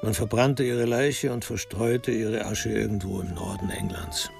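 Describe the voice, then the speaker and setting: A man narrating a scripted radio crime drama in a wry, measured storytelling manner. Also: lowish voice, fairly even intonation, creaky, music in the background.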